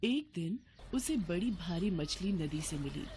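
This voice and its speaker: A woman's voice: on the low side, expressive, unhurried, a little mellow, slight background noise. A woman narrating a scripted devotional story as a storyteller. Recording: music bed behind voice.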